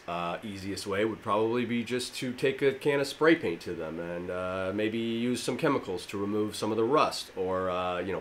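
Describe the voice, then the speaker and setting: A man in his thirties with a slightly raspy voice, casually explaining a DIY project to camera in his home garage gym, with plenty of fillers. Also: fairly low pitch, a little hiss or hum. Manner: rambling, filler-heavy, friendly explainer. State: allergies. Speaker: fitness DIY channel host. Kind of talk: casual how-to talk to camera.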